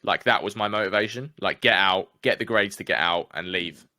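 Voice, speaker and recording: A man's voice: low-pitched, brisk, pushed and bright, no room sound, studio quality. A young English man with an English accent, speaking candidly as an interview guest in a casual, chatty way. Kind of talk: casual conversation.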